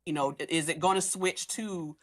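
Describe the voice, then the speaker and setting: A woman in a casual fan discussion, animated and given to acting out imagined remarks. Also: low-pitched, moderate pace. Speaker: podcast guest discussing an audio drama.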